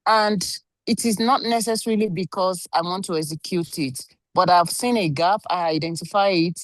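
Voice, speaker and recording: A woman joining an online workshop to make a polite, measured comment about her experience at work. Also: fairly low pitch, dry recording. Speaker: workshop participant.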